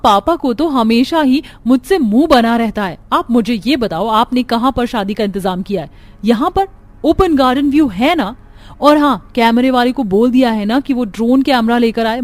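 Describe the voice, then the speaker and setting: A woman's voice: on the high side, close to an everyday voice. Woman playing a spoiled, whiny young bride. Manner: whiny, bossy, excited. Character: spoiled, demanding young bride nagging her parents.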